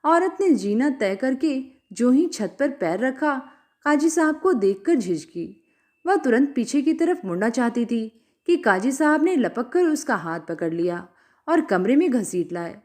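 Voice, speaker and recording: A woman reading a story aloud as an expressive scripted narration. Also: fairly high pitch.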